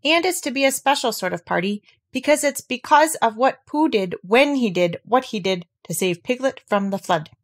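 A woman's voice: on the high side, expressive, normal speed, hardly any pauses. A woman voicing an eager, earnest young boy in a storybook, speaking with emphasis.

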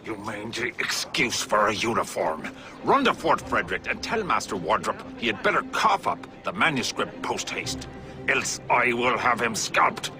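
An actor playing a colonial official in a game cutscene, smooth with peers and cutting to underlings. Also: male voice, on the low side, very animated, normal speed, music in the background. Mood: contemptuous. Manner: suave then cutting. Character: colonial official, courteous to peers, bullying to soldiers. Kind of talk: video game cutscene acting.

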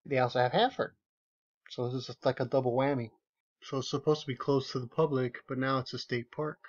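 A man's voice: mid-range voice, dull audio. A man giving casual, sarcastic commentary over screenshots, with incredulous, emphatic asides. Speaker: video commentator.

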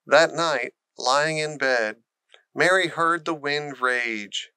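A man's voice: a little high, slow pace, sharp tone, clean tone, dry recording. A man reading a children's story aloud as scripted narration. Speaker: storybook reader.

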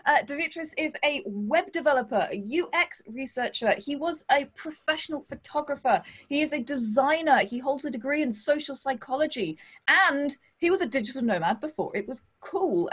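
Bubbly high-voiced woman hosting. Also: fairly bright tone, slightly rough. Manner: bubbly and playful, jokes often. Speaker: podcast host. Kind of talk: livestream interview. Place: home studio, indoors.

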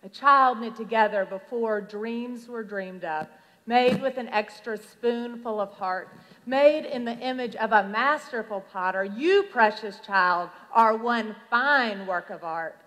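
A woman reciting rhythmic slam poetry as a preacher-poet, with emphatic, dramatic delivery and drawn-out phrases. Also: a little high, a bit bright. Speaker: reverend performing slam poetry. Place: large hall at a youth conference. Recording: reverberant large hall.

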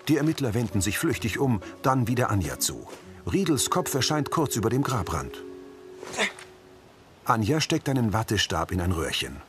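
Low-voiced male narrator, lively intonation. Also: normal speed. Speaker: audio description narrator. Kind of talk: scripted scene narration. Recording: music under the voice.

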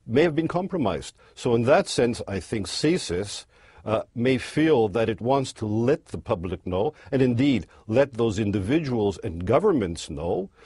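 An older male commentator speaks in a measured way, with a husky voice and lively pitch movement. The sound is dry and close. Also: dry recording. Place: remote studio.

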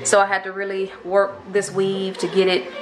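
A woman's voice: mid-range voice, monotone, moderate pace. A woman in her thirties with an American accent, chatting casually and informally to the camera as a vlogger. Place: parked car and rooms at home. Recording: some background noise.

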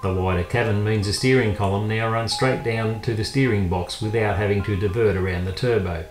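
A man's voice: on the low side, steady tone, fairly quick. A man reading a scripted voice-over as the narrator of a vehicle review. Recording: roomy voice-over with music. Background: guitar music.

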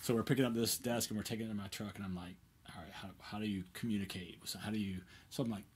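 Low, husky man chatting casually. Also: steady tone, quick pace. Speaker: co-host. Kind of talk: casual chat between friends. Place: indoor studio room full of guitars, seated at a table.

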